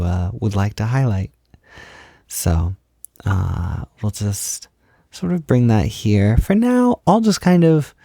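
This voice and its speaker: A man playing a tender, encouraging partner in an intimate roleplay audio, speaking softly in a gentle, hesitant, reassuring way. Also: fairly low pitch, no echo, clean studio sound. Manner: gentle, reassuring, hesitant, with breathy whispered moments. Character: tender, encouraging man comforting partner while doing makeup. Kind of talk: intimate roleplay audio, soft ASMR talk.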